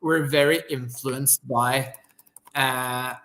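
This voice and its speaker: Norwegian-accented man, startup founder guest on a video call, eager, self-correcting, mid-pitched. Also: fairly even intonation, extremely slow, bright, forceful tone. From Norway. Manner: eager, restarts often, fillers. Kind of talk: casual live-stream interview.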